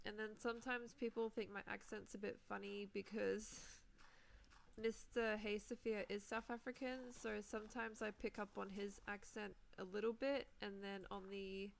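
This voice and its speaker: A woman's voice: medium pitch, flat delivery, moderate pace, creaky, slight background noise, poor audio. A young woman from New Zealand chatting casually while playing a game on a live stream, rambling with fillers and frequent laughs. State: recovering sore throat. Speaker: Minecraft streamer.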